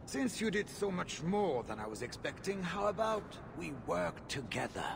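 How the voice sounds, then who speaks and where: A man voicing a shrewd, money-minded mine owner with a brisk, dealmaking manner in a video game. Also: sits high, very animated, creaky, background noise, exaggerated voice. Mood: businesslike.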